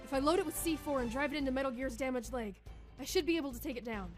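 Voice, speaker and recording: A woman's voice: high voice, racing, faint music behind, lightly acted. A woman playing a tough, determined, wry young soldier in a radio drama.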